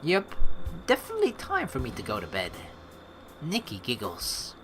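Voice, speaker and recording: A man's voice: medium pitch, wildly swooping pitch, normal speed, over music. A man hosting a let's play, reading game text aloud and reacting casually and animatedly, with free swearing.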